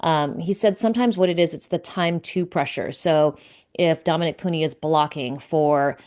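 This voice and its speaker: A woman's voice: deep voice, normal speed, darkish tone. A woman reporter casually recapping team news, relaying coach quotes and reading out player stats with frequent fillers. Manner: conversational, many fillers, reads out stats and quotes. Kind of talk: casual solo recap to camera.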